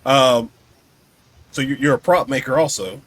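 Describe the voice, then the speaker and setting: A man interviewing casually as a podcast host, haltingly rewording his questions as he goes. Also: medium pitch, a bit bright.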